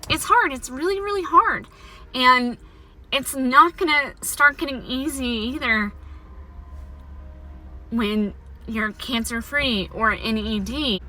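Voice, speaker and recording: A young adult woman talking to camera about her life after cancer, her voice set high by a mouth prosthetic, candid and encouraging. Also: slow pace, long pauses, bright, forceful tone. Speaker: vlogger sharing her cancer survival story. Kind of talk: personal talking-to-camera vlog.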